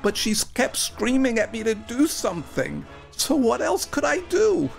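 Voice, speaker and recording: A man performing a first-person story for radio, with a wry, animated and earnest delivery. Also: very high voice, a little slow, vocal fry, faint music behind.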